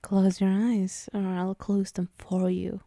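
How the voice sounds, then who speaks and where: A woman playing a possessive, teasing female demon in an ASMR roleplay, menacing yet indulgent, hushed and speaking directly to the listener. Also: medium pitch, little pitch movement, takes it slowly, no room sound, clean studio sound. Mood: teasing menace.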